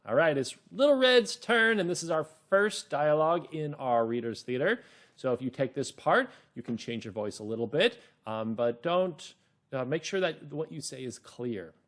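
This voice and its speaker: A man hosting a children's reading lesson as a teacher, explaining and narrating encouragingly, with drawn-out emphasis. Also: on the high side, lively intonation, fairly bright tone. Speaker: teacher and host.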